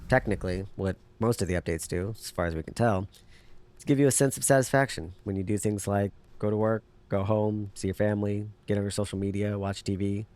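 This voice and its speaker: A man's voice: lowish voice, fairly even intonation, a little fast, a little mellow, natural voice. A man playing a jaded, know-it-all underground hacker in an audio drama, dry and matter-of-fact as he explains. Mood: cynical, explaining.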